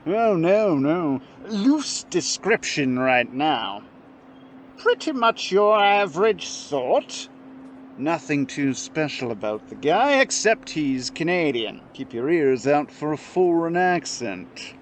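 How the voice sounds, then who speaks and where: A man plays a gruff, dogged police detective in an audio drama. He takes his time, with a casual sardonic edge and lively swings in pitch, over faint noise. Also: slow. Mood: businesslike. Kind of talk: amateur audio play acting. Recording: faintly noisy home-device recording.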